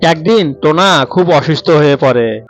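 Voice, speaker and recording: A man's voice: a little high, a little slow, soft background music. A man narrating a scripted cartoon story as its storyteller.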